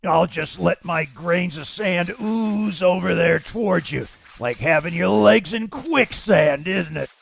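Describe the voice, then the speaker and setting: A man voicing a menacing, sneering sand villain in a comic dub, with gravelly, taunting, slangy tough-guy delivery. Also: sits high, slow pace, heavily acted. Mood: gloating menace.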